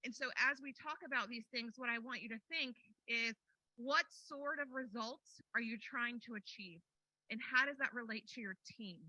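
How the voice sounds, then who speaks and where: A woman's voice: a little high, moderate pace, bright, forceful tone, no room sound, poor audio. A woman business advisor giving an upbeat, confident conference talk into a handheld microphone. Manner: confident, upbeat, strong-voiced. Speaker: business advisor presenting at a workshop. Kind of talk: conference presentation. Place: conference room.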